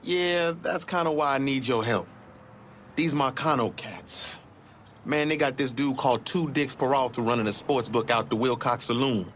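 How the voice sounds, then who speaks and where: A man's voice: mid-range voice, moderate pace, fairly bright tone, slight background noise, lightly acted. A man playing a proud, streetwise young boxer in a crime game, talking in loose street slang. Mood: aggrieved, wary. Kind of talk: dramatic video game acting.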